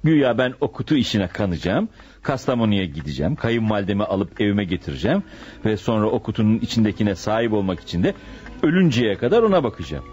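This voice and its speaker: An actor playing a skeptical, grumbling middle-aged father with a husky voice in a muffled radio play. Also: lowish voice, big pitch swings, fast talker, darkish tone, soft background music. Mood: skeptical, irritated. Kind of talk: radio theatre acting. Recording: muffled radio drama recording.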